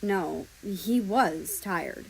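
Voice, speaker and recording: A woman's voice: mid-range voice, very slow, gravelly, a little hiss or hum, poor audio. A woman narrates a story in a mid-range voice. She reads expressively, as if telling a tale from a book. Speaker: fan fiction reader.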